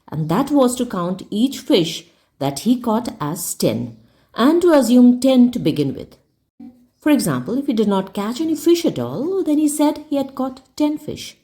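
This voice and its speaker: A woman's voice: medium pitch, dark tone. A woman reading a story aloud in a scripted audiobook narration style.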